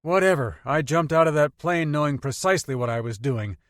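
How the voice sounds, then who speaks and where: A man's voice: a little high, dry recording, studio quality, voice pushed up, lightly acted. A man voicing a tough, decisive adult woman who talks bluntly and a bit sarcastically, in an audiobook reading. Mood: dry. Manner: brisk, no-nonsense, a little sarcastic.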